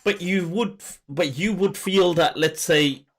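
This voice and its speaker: A man hosting a podcast interview, speaking in a thoughtful, halting way as he searches for words. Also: high voice. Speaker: podcast host. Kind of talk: conversational interview.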